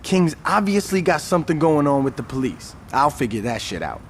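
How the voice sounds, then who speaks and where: A man's voice: fairly high pitch, sing-song, a little fast, a little hiss or hum. An actor playing a calculating, level-headed male gang strategist who lays out plans firmly and with exasperation. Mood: exasperated. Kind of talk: video game cutscene acting.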